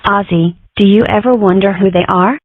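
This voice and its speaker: A woman's voice: mid-range voice, moderate pace, dry recording, natural voice. A woman voicing an inquisitive, dramatic young cartoon girl in a comic strip dub, with wry, theatrical musing. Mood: curious, wry. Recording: muffled phone-like audio.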